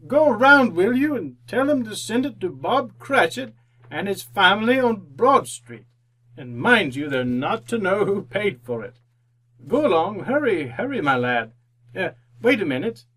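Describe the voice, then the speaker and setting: An old miser from a classic radio play. His voice is high for a man and he takes his time over the words. The delivery is theatrical, fervent and full of exclamation. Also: very animated, unhurried, a bit bright, husky, heavily acted, poor audio. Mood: giddy delight. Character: old repentant miser, fearful then overjoyed.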